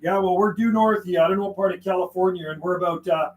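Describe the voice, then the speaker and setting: Chatty CB radio man, slightly high voice. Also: fairly even intonation, fast. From western Canada. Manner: chatty, laughs often, rattles off radio call signs and jargon. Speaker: CB radio operator streaming live. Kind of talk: casual on-air radio chat.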